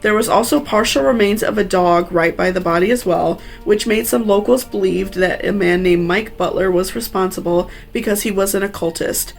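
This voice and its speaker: Woman narrating true crime, slightly gravelly voice. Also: mid-range voice, moderate pace, hardly any pauses, soft background music. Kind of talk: scripted true crime narration with personal asides.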